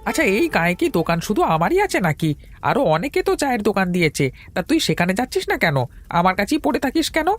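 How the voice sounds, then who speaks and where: Man acting grumpy, high-pitched village tea stall keeper. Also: big pitch swings, rapid-fire, soft background music. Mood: scolding. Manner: scolding, exasperated. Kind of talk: Bengali audio story drama acting.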